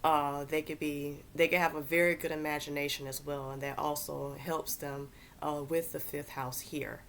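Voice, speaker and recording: A congested woman hosting a casual, unscripted astrology explainer, halting as she searches for words and repeats herself. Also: deep voice, little pitch movement, slight background noise. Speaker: astrology content host.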